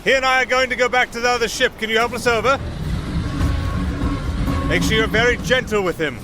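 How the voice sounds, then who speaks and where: A man's voice: very high-pitched, a little fast, over music. A man playing a roguish, wry young musician adventurer in a fantasy audio roleplay, bantering with some hesitation. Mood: urgent calling.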